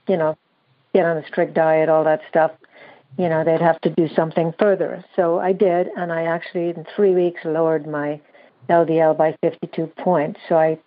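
A woman calling in to a show, speaking hesitantly with a slightly raspy voice and many fillers. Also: low voice. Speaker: caller. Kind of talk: call-in conversation. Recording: muffled lo-fi audio.

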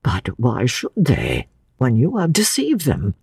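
A woman voicing an earnest old man in a novel, hot-tempered yet tender, speaking with slow, emphatic passion. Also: very deep voice, wildly swooping pitch, vocal fry, a little mellow, husky, no room sound, studio quality, full character voice. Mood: accusing.

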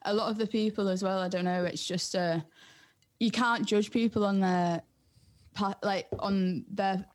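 A woman's voice: medium pitch, fairly even intonation, unhurried. A young woman with a North East English accent, talking casually and unassumingly as an interview guest. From North East England. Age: young adult. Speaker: interview guest, music producer. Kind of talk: casual chat.

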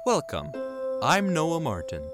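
A man's voice: on the high side, wildly swooping pitch, unhurried, over loud music. A man hosting and narrating a scripted show in the manner of 1930s and 40s radio. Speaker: show creator, host and narrator.

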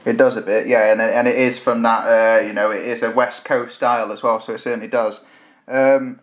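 A man's voice: medium pitch, little pitch movement, moderate pace. A man co-hosting a podcast, chatting in an enthusiastic, rambling way. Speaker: podcast co-host. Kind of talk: casual podcast chat.

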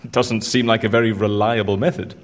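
An actor playing a curious, gently probing confidant with dry wit in an audio drama, attentive and conversational. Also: male voice, on the low side, level tone, a little slow, close to an everyday voice. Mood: wry, incredulous.

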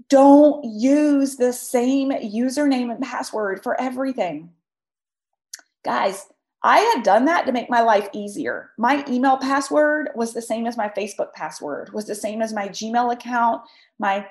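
A woman's voice: a little high, expressive, a little slow, a little mellow, roomy sound. A woman hosting a podcast, talking emphatically straight to her listeners while her voice is scratchy from a cold. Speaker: podcast host. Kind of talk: solo podcast talk, advice.

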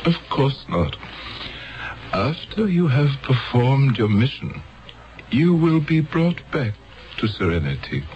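An actor playing a grave, fatherly elder of a peaceful future world in solemn, deliberate 1970s radio drama style. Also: medium pitch, sing-song. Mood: gently consoling. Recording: dull 1970s radio broadcast recording.

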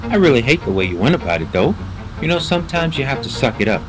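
Male actor as frank supportive buddy, casual and blunt, fast-talking, lively intonation. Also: medium pitch, close to an everyday voice, poor audio. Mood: frank, friendly. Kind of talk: audio drama acting.

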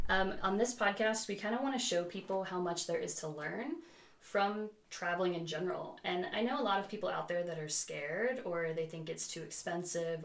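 A woman's voice: fairly low pitch, vocal fry. A woman hosting a travel podcast interview, eager and chatty as she introduces and questions her guest. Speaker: podcast host. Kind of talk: casual interview chat.

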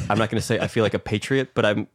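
A man's voice: fairly low pitch, slightly rough, no echo, studio quality. An American man co-hosting a podcast discussion, explaining his views conversationally as he thinks aloud. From United States. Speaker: podcast co-host.

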